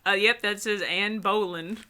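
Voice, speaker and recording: A young woman with a bright, sharp-edged voice at an average pitch. She co-hosts a dramatic reading, moving between narration and casual banter with a blunt, snarky manner. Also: steady tone, a little slow, clean tone. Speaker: co-host.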